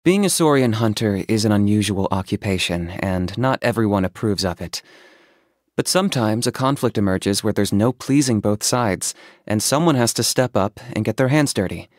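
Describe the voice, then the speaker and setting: An actor playing an aloof, pragmatic young hunter in a video game, speaking in a calm, reserved, matter-of-fact and dryly sardonic way. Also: male voice, fairly low pitch, normal speed, no room sound, studio quality, lightly acted. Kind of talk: video game character voice acting.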